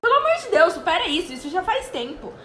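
A woman's voice: very high-pitched, very animated, quick pace, bright, forceful tone, exaggerated voice. A woman acting an exasperated, scolding young mother caught in scandal in an amateur radio soap. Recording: echoey room, faint noise.

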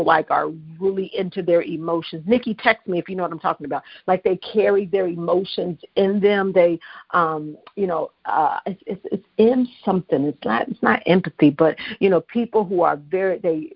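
A woman's voice: lowish voice, gravelly, breathy edge, no room sound, highs cut off. A woman pastor, preaching to callers and calling for responses on a live prayer line. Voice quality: strong voice. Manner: preachy call-and-response with the listeners. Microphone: phone line.

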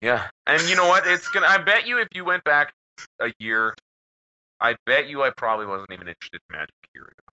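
A man's voice: mid-range voice, animated, fast talker, pushed and bright. A man with a Canadian accent co-hosting a podcast, joking around and swearing freely. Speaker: podcast co-host. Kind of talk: casual banter. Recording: slightly muffled podcast audio.

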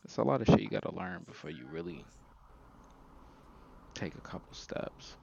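A man's voice: sits low, expressive, moderate pace, creaky, darkish tone, highs cut off. A man in his mid twenties chatting casually as a podcast co-host, with a slangy, informal way of talking. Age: mid 20s. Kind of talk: casual group chat.

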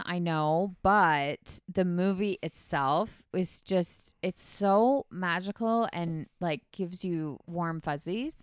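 A woman's voice: lowish voice, clean tone, dry recording. Podcast co-host, young woman, casual and chatty, dry close-miked sound. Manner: chatty, laughs often, lots of fillers. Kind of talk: casual chat.

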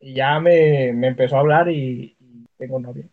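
A man's voice: medium pitch, slow pace, darkish tone. A young adult man with a Mexican accent chatting casually as a podcast co-host, telling personal stories in slangy, sweary language. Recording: dull lo-fi voice audio.

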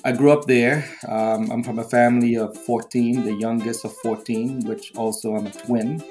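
A middle-aged man from Trinidad, a podcast guest chatting warmly and pausing often with fillers. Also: medium pitch, steady tone, faint music behind. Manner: warm, talks with many fillers and pauses. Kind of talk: casual interview chat.

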